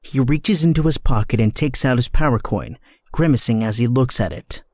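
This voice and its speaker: A man narrating an audio drama from a script in a measured, dramatic storytelling style. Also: mid-range voice, a little mellow, dry recording, studio quality.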